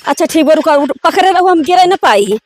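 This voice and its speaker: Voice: high voice, lively intonation, brisk. A performer playing a reluctant, grumbling village cart driver in a comedy skit, with an eastern Uttar Pradesh accent. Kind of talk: rural comedy skit acting. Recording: amateur recording, faint noise, clicks.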